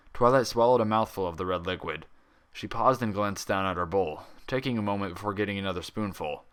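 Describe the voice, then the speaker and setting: A man's voice: on the low side, fairly bright tone. A man reading a scripted story aloud as its narrator. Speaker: fan fiction reader.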